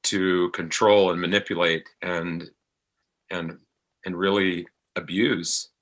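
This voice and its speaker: A middle-aged man with a low voice and a bright, firm edge talks as an interview guest. He is reflective and conversational, speaking haltingly and often repeating words while he looks for the next one. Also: flat delivery. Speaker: voice actor as podcast guest.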